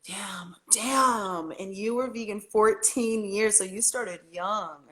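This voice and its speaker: A woman of middle pitch, a guest in a relaxed livestream chat. She speaks emphatically and bluntly and swears now and then. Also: slow.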